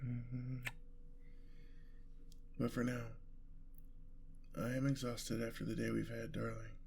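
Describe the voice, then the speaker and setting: A man playing a gentle, lovestruck, world-weary vampire in an ASMR roleplay, with courtly, flowery and tender phrasing. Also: mid-range voice, flat delivery, takes it slowly, vocal fry, slight background noise. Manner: formal, flowery, tender old-fashioned phrasing.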